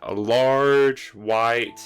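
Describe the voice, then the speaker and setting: A man's voice: mid-range voice, steady tone, drawn-out words, clean tone, faint music behind. A man narrating an improvised tabletop game as game master, describing scenes directly to the players.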